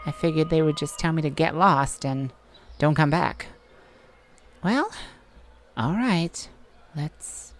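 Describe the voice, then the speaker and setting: Woman voicing a weary mage, slow halting delivery, wry and defiant, lowish pitch, fantasy audio drama. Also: a little mellow. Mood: surprised, guarded. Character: weary, defiant human mage longing for captured mate. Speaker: audio roleplay performer. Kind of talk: scripted dramatic audio roleplay.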